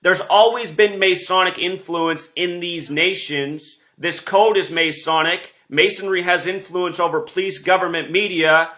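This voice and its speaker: A man's voice: high-pitched, steady tone, a little slow, few pauses, sharp tone. A man hosting an online show, speaking in a rambling, insistent monologue with emphatic delivery. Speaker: online video host.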